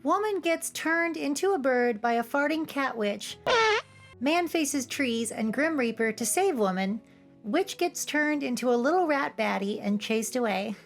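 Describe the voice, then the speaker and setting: A woman with a high voice delivers humorous scripted commentary as a host. She slips in playful, cheeky asides. Music plays behind her. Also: expressive, unhurried. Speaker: video essay host. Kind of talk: scripted, humorous commentary over cartoon clips. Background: background music.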